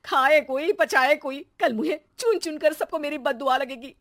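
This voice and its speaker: A woman voicing an exasperated, sarcastic mother who nags and shouts in an animated cartoon story. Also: moderate pace. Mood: lamenting. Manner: shrill shouting, sarcastic nagging. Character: exasperated, scolding Indian mother and housewife, sarcastic.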